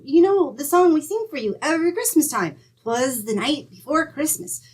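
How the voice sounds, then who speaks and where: A woman's voice: high voice, expressive, moderate pace. A woman playing an eager, chatty little neighborhood girl in a radio comedy, with a childlike wheedling way of asking "mister?". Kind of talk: 1940s radio acting.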